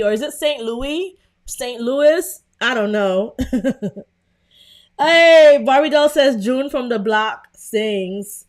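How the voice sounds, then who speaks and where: A young woman with a Brooklyn accent hosting a casual live design tutorial, chatty and full of fillers as she greets viewers. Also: fairly high pitch. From Brooklyn, New York. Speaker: livestream host.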